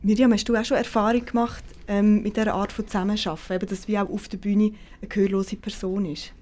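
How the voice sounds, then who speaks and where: A woman's voice: medium pitch, flat delivery, fast talker, mellow. Woman hosting a talk. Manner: asks long rambling questions with fillers. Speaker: podcast host. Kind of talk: conversational interview.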